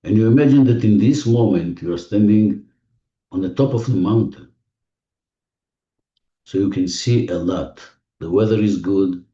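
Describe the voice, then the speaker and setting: A man leading a guided visualization in non-native English phrasing, giving gentle, repetitive instructions with deliberate pauses, recorded muffled and distant in an echoey room. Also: lowish voice. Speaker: guided meditation leader. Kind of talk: guided visualization, spoken slowly to a listener.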